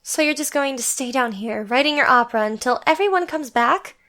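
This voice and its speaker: High-pitched, tentative young opera singer played by a woman. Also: expressive, fairly quick, sharp tone, heavily acted. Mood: cautious, questioning. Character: timid but sympathetic young female opera singer. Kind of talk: audio drama acting.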